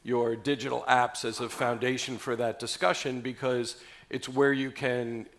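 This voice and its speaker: A man moderating a conference panel on radio, laying out topics in a brisk, structured way. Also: mid-range voice, little pitch movement, a bit bright.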